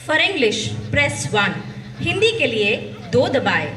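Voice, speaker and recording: A man's voice: very high voice, wildly swooping pitch, slow, bright, forceful tone, heavily acted. A man playing a formal, courteous helpline operator, reciting scripted lines in a stage play. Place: echoey hall, far from mic. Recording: echoey, distant mic, noisy audience hall.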